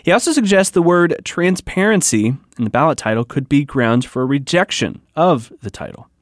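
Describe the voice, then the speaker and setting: A man's voice: on the high side, dry recording, clean studio sound. A man reporting the news in a scripted narration style.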